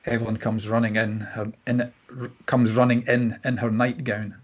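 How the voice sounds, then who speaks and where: A man with a husky voice reading scripted narration and stage directions at a table read. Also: mid-range voice, flat delivery, normal speed, lo-fi sound.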